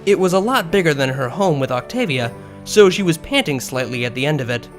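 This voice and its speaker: A man reading scripted fiction as an expressive narrator, audiobook style. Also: a little high, brisk. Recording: music behind the voice.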